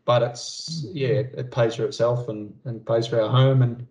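A man's voice: mid-range voice, steady tone, a little slow, darkish tone. A man speaking as a farmer on a panel, answering questions casually and thinking aloud in a hesitant way. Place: indoors on a video call. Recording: dull video-call audio.

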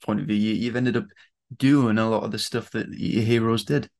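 A man's voice: on the low side, steady tone, fairly quick, vocal fry, a little mellow. A man, chatting casually as an interviewer. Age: 20s. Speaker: interviewer, online show host. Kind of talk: casual chat. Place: small home room, video call.

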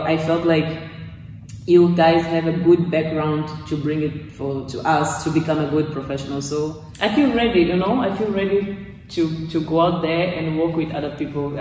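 A woman's voice: sits low, a little mellow, far from the mic, slight background noise. Brazilian-accented woman, echoey gym room. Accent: Brazilian Portuguese. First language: Portuguese. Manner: talks with her hands, searching for English words, many restarts. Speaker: course graduate giving a testimonial. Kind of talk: casual testimonial interview. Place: small gym room, indoors, in front of weight racks. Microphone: far camera.

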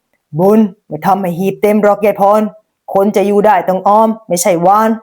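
A woman's voice: mid-range voice, steady tone, moderate pace, a little mellow, natural voice. A woman voicing a stingy, stern old mother-in-law in a folk tale, with a hard, scolding manner. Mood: harsh, scolding.

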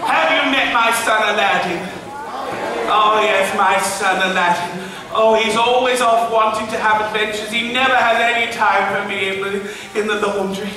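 A man's voice: high voice, steady tone, moderate pace, recorded from a distance, noisy background, natural voice. A man playing a camp old pantomime washerwoman. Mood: camp, bawdy. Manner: camp, bawdy, teasing the audience, bursts into song. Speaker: pantomime dame. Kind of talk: live pantomime stage comedy. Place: large theatre stage. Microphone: far stage recording. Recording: echoey hall recorded from afar.

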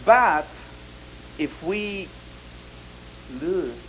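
Ecuadorian man, native Spanish speaker, halting English, handheld mic in a hall, slightly raised pitch. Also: expressive, fairly bright tone, a little hiss or hum. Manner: halting non-native English, many restarts, gesturing. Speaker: ministry speaker. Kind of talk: animated talk to an audience. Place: hall indoors.

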